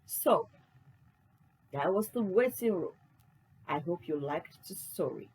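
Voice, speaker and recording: Woman host, earnest faith-based encouragement, husky, lively pitch. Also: takes it slowly, poor audio. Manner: earnest, encouraging, rhetorical questions. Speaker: book channel host.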